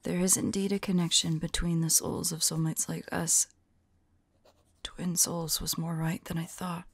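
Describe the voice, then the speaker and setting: Woman acting a breathy, weary, devoted vampire lover. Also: lowish voice, flat delivery, dry recording. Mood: weary, pensive. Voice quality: breathy, whispery, husky. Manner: gentle, halting, hushed delivery. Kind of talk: ASMR audio roleplay, soft intimate acting.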